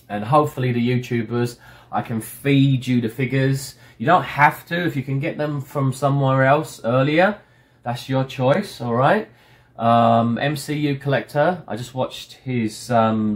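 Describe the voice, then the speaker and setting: A middle-aged man and toy shop owner, hoarse from illness, chatting casually to his customers with lots of fillers and restarts, filmed by a desk camera in a stockroom. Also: lowish voice. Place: indoor stockroom full of toy boxes.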